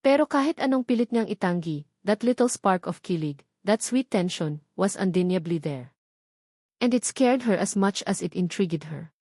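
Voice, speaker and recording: A woman's voice: mid-range voice, lively intonation, moderate pace, warm tone, no room sound. Woman narrating drama, close dry sound. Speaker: storyteller. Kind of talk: dramatic scripted story narration.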